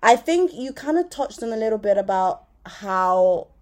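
A woman hosts a relaxed podcast chat at a middle pitch. She talks in a chatty, curious way and keeps asking her guest questions. Her laugh comes easily. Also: normal speed. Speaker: podcast host. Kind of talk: casual chat.

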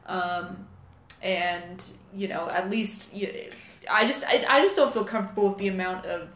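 A woman's voice: mid-range voice, normal speed, fairly bright tone, slight background noise. A young adult woman co-hosting a podcast, talking in a thoughtful, hedging way with many fillers and self-corrections. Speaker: co-host, company staff. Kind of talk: casual podcast chat. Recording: echoey room.

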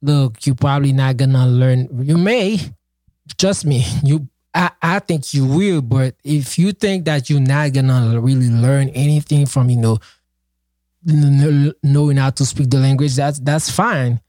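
A man whose native tongue is Haitian Creole chats on a podcast, his voice mellow and relaxed. He talks with earnest passion, stumbling through fillers and restarts. The sound is dry and close. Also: medium pitch, unhurried, no room sound. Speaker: podcast co-host and project founder. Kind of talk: casual podcast chat.

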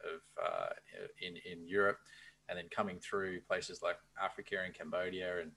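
A man hosting a podcast interview, asking questions in a relaxed, hesitant way with many fillers. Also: deep voice, moderate pace, gravelly, slight background noise, low-quality recording. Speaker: podcast host.

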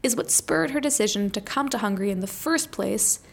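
A woman's voice: mid-range voice, a little fast. A woman working as an interviewer and narrator, moving between scripted narration and casual questions.

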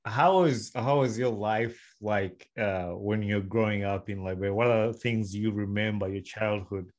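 A man's voice: lowish voice, fairly even intonation, moderate pace, lo-fi sound. A man from Uganda hosting a casual podcast, eagerly welcoming his guest and often repeating himself. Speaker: podcast host.

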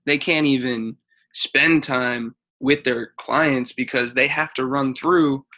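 A man in his mid twenties, an athletic trainer, chatting casually on a podcast in an even, level way. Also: mid-range voice, slow pace. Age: mid 20s.

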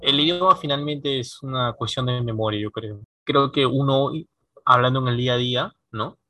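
Man thinking out loud in a chat, bright sharp voice, dry recording. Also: mid-range voice, moderate pace, dry recording. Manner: musing, lively, laughs now and then. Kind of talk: casual conversation.

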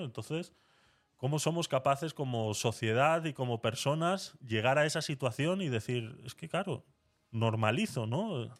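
Guy hosting a stream, chatty, reading out the chat. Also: mid-range voice. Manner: reads viewer comments aloud, laughs often. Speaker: host reading live chat. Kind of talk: casual live-stream chat.

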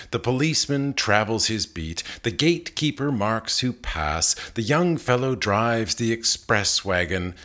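Guy reading poetry out loud, deep voice, really slow n measured, pauses between phrases. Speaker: poetry reader. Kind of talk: literary poetry reading, scripted recitation.

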